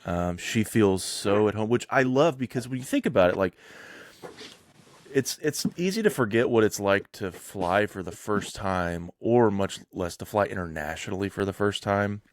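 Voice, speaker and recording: A man's voice: on the low side, lively intonation, moderate pace, no room sound, clean studio sound. A man hosting a casual podcast interview, rambling with many restarts and filler words. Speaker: podcast host.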